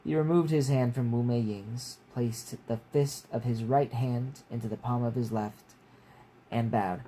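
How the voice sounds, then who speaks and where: A man's voice: mid-range voice, steady tone, a little slow, warm tone, poor audio. A man narrating a story from his own book as a reader, with casual asides. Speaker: author reading own book. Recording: clear background noise.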